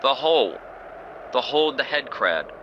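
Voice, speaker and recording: A man's voice: mid-range voice, big pitch swings, normal speed, dull audio, slight background noise, lightly acted. A man playing a cheerful, oddly oblivious male biologist. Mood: chipper.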